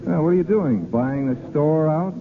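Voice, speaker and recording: An actor playing a bitter, wisecracking jilted bachelor in 1930s radio drama style, clipped, sardonic and slangy, on a muffled old broadcast. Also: male voice, fairly high pitch, expressive, moderate pace, a crowd in the background. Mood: guarded, casual.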